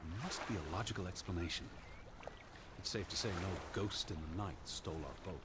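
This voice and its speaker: Actor as 1920s American academic, male, low register, prim and earnest, careful reasoning, game dialogue over noticeable ambience. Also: close to an everyday voice. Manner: proper, measured, earnest. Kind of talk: naturalistic video game voice acting. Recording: clear background ambience noise.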